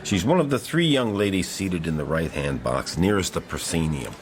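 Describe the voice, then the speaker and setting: An actor playing an assured gentleman who runs detective agents in a radio drama, formal and confident. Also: low voice, lively intonation, normal speed, slight background noise.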